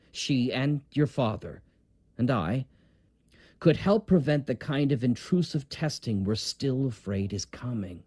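A man playing a grave, principled academic doctor in an audio drama, speaking in a measured, formal way. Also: mid-range voice, dark tone, breathy edge, no echo.

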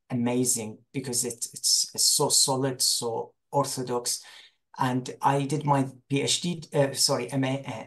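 Guy in his 40s w an iraqi accent, mid pitch, chatting on a video call, kinda halting as he hunts for words. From Iraq. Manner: hesitant, searching for words. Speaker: guest, religious teacher. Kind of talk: informal interview talk. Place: small room indoors, on a video call.

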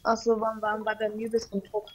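A woman talks in casual gaming voice chat with a fairly high voice. Her manner is blunt and full of slang. Clear noise runs through the recording. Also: monotone, a little fast. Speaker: co-player.

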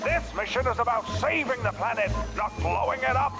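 A high-pitched, commanding hound-dog general in a video game. He briefs in clipped military fashion, stern and authoritative, with music clearly playing behind him. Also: brisk. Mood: scolding.

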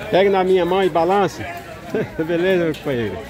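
A man with a Northeastern Brazilian accent narrating a relaxed walking tour of a street market, chatting with vendors. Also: high voice. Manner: chatty, greets vendors warmly, relaxed unhurried commentary. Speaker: vlogger touring a street market. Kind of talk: casual walking vlog narration. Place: busy outdoor street market. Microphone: handheld camera mic while walking. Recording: crowded outdoor street market. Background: market crowd chatter.

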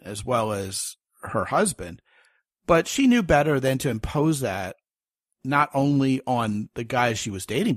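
A man's voice: medium pitch, no room sound, studio quality. A man hosting a podcast, telling stories and joking in a casual interview chat. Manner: chatty, storytelling, joking. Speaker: podcast host.

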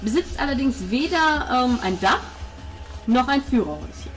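A woman's voice: high-pitched, expressive, moderate pace, fairly bright tone. Woman game master narrating, music behind. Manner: descriptive, informal storytelling. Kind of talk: narrating a tabletop role-playing game.

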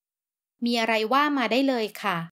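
A woman's voice: fairly high pitch, unhurried, fairly bright tone. A woman reading the lines of a young mother, wary and pleading, rising to angry outbursts in an audiobook. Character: young mother, frightened then defiant.

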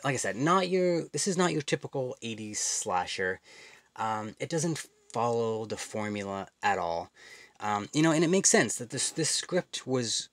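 A man's voice: fairly low pitch, a bit bright, dry recording. A man giving a casual spoken film review, rambling with many fillers and restarts.

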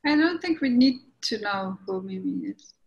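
A woman's voice: medium pitch, lively intonation, moderate pace, lo-fi sound. A woman answering questions as a playwright in a casual video call discussion. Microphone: video call microphone.

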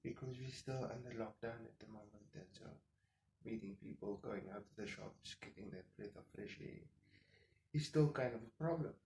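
A man in his thirties, a native Afrikaans speaker, rambles to camera in a hushed voice so he won't wake his household. His tone is mellow and dark, and the kitchen gives the recording a roomy echo over a faint hiss. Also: lowish voice, normal speed. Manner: subdued, keeps voice down so as not to wake the household; rambling, thinking out loud with frequent fillers. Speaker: vlogger. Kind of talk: casual monologue to camera. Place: home kitchen, indoors.

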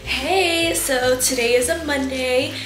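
A woman's voice: high voice, fairly even intonation, takes it slowly, roomy sound, loud music behind the voice, rough recording. A young woman in her twenties chatting casually to her camera at home, venting and swearing a lot. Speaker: lifestyle vlogger. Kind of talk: casual storytime vlog. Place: bright living room and kitchen at home.

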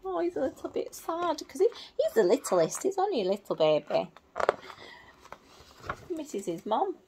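A woman in her thirties reading a picture book to small children in simple, expressive phrases, adding little explanations as a teacher would. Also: high voice, normal speed, noisy background. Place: small indoor room at home.

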